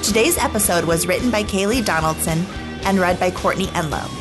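A woman reading scripted documentary podcast narration in an articulate, measured storytelling manner. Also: fairly low pitch, music in the background.

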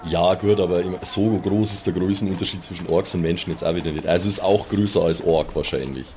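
A man's voice: sits low, fast, a little mellow. A man, chatting casually as a player in an improvised tabletop game. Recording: clear background noise.

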